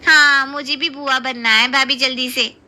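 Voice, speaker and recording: Woman doing an excitable, high-pitched young sister-in-law. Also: moderate pace. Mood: excited. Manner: whiny, eager, exclamatory. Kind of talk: Hindi cartoon family story acting.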